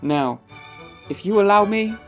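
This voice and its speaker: A woman's voice: mid-range voice, animated, unhurried, over music. A woman playing an arrogant, self-centred male writer turned ghost in a comic drama, pompous, sarcastic and theatrical. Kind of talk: comic audio drama acting.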